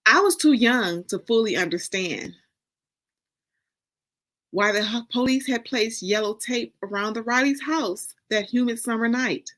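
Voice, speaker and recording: American lady doing a dramatic story reading, bright punchy voice, deliberate w/ pauses. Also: a little high. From Detroit, United States. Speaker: author reading from a novel. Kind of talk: dramatic reading of first-person narrative.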